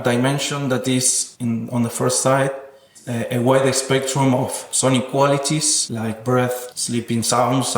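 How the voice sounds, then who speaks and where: Man speaking evenly in an echoey room. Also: medium pitch. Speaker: interviewed sound artist. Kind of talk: reflective interview about own work.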